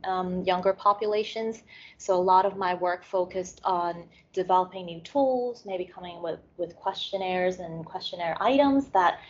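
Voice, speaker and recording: A woman from Hong Kong giving an academic lecture over slides, speaking in a measured way with frequent pauses and fillers. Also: medium pitch, a little mellow. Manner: measured, many fillers and restarts. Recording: muffled lo-fi audio.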